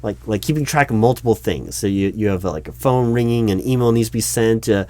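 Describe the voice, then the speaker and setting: A man playing a polite, awkward corporate recruiting manager in a one-sided interview roleplay, speaking out loud with a hesitant, stammering, formal manner. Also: on the low side, fast talker, close to an everyday voice. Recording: steady background noise, keyboard typing. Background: computer keyboard typing.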